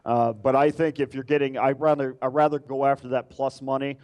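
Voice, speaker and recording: A man who works as a radio sports analyst, giving measured casual betting analysis with many fillers. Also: mid-range voice, level tone, darkish tone.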